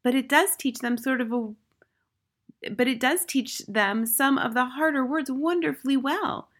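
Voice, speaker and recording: A gentle woman teacher from a storybook, put on by a female narrator. Her voice is lifted high and sounds timid. She pleads anxiously and protectively. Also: measured pace.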